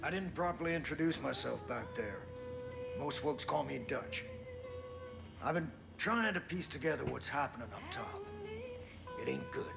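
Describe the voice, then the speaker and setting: Gravelly older American man, gruff weary survivalist, blunt and folksy, video game cutscene, music clearly behind. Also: fairly high pitch, very animated, moderate pace, long pauses. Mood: urgent, determined. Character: gruff, weary older male survivalist, cynical but defiant.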